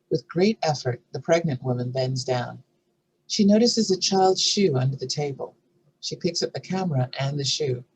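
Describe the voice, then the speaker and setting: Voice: medium pitch, darkish tone, breathy edge, rough recording. A narrator reading script stage directions in a scripted narration style. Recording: faint background noise.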